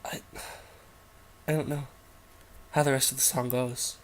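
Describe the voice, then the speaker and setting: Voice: medium pitch, flat delivery, measured pace, slight background noise. A host casually reading fan fiction aloud and rambling off into irreverent, giggly side comments. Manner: giggly, irreverent, easily sidetracked.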